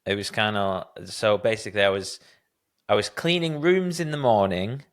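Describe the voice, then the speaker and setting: Low, smooth-voiced male podcast host. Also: normal speed. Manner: candid, self-deprecating storyteller. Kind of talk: casual conversational interview.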